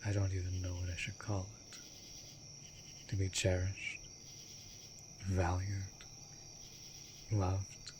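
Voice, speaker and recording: Night crickets hum behind a deep-voiced vampire master played by a man. He is a brooding, tender immortal in a romantic fantasy roleplay, and he speaks in formal, old-fashioned phrases at a very unhurried pace, often sinking toward a whisper. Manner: formal archaic wording, slow and deliberate, often dropping to breathy whisper. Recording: steady background noise of night crickets. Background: night insects and crickets.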